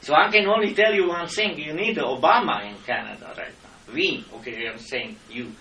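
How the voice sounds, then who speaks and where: Czech-accented guy ranting, bright tense voice, echoey room, mic kinda far off. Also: on the high side, expressive, moderate pace. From Czech Republic. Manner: impassioned, lecturing, non-native grammar. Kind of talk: heated argument with officials.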